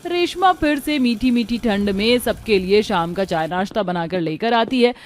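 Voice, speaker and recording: A woman reading a scripted narration for an animated moral story. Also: medium pitch, moderate pace.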